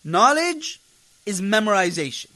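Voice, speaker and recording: A man's voice: sits high, sing-song, unhurried, sharp tone. A man teaching a religious class as an imam, lecturing with emphasis, repeating key phrases and putting rhetorical questions to his listeners. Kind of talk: impassioned religious lecture to a congregation.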